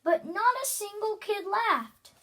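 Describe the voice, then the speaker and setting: A young child reading a children's book aloud, haltingly, stumbling over words. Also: very high voice, expressive, a bit bright. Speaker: reader.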